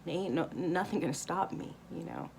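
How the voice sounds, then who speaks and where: A woman in her late thirties talking candidly in a rambling spiritual vlog monologue, with many pauses and fillers and some swearing. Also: fairly low pitch, gravelly, darkish tone, slight background noise. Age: 30s. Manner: rambling, candid, frequent ums and profanity. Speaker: spiritual vlogger.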